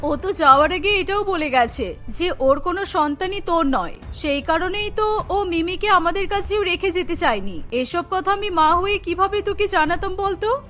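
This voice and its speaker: A woman's voice: extremely high pitch, lively intonation, moderate pace, a bit bright, soft background music. A woman voicing a spiteful older mother-in-law in a cartoon, indignant and manipulative. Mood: indignant, conniving. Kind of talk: Bengali cartoon voice acting.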